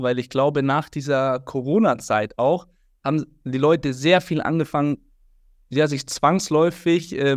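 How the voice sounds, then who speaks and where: Podcast host guy, friendly and rambly, slightly high voice, dry sound. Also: no room sound. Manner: friendly, rambling, many fillers. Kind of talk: casual interview chat.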